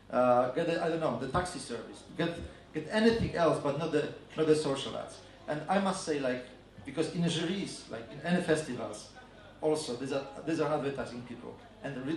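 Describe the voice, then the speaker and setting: A man with a Polish accent giving a conference talk on advertising, halting and full of fillers and restarts. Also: a little high. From Poland. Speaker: advertising agency speaker. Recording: echoey room from a distance.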